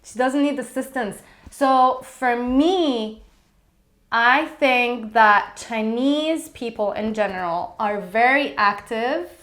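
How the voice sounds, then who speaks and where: A young woman with a fairly high voice runs a casual chat with her partner. She sounds upbeat and playful as she moves the talk along. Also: slow pace, fairly bright tone. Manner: upbeat, playful, leads the questions. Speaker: vlog host.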